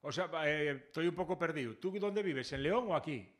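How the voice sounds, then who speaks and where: A man hosting a casual radio interview, chatty and joking as he fires quick follow-up questions. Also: on the high side, lively intonation. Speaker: radio host.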